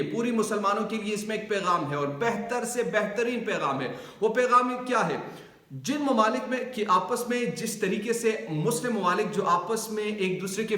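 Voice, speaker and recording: A man with a Pakistani accent and a notably high voice delivers political commentary. He is emphatic, restating his phrases to press each point. The recording is roomy, with clear echo around his voice. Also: a bit bright. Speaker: news commentator. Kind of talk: political news commentary addressed to viewers.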